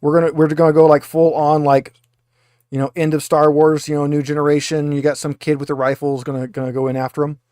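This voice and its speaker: A man's voice: on the high side, level tone, fast, dark tone, clear, resonant tone, no room sound, clean studio sound. A man acting as game master, casually narrating a tabletop game and explaining its rules to the players.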